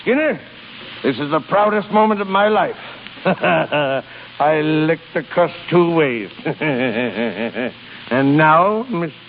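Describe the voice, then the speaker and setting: A high-pitched, crusty old skipper turned businessman barks salty nautical bluster in a 1930s radio play. He is crafty and bossy with a soft heart underneath. The sound is dull, with a light hiss of old broadcast. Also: sing-song, takes it slowly, long pauses, long reverb tail. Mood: gleeful, crafty. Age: 60s.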